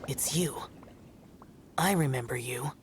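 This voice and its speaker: A man's voice: medium pitch, takes it slowly, noisy background, close to an everyday voice. A man voicing a stoic immortal demon-slayer in a video game, grave, formal and detached. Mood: solemn. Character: solemn, stoic, aloof male immortal demon-slaying guardian.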